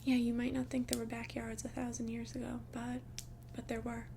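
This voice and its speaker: A woman playing a centuries-old vampire who looks like a young adult, confiding casually in a breathy, hesitant ASMR whisper. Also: on the high side, monotone, brisk, warm tone, slight background noise. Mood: reminiscing. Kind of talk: soft-spoken whispered ASMR roleplay.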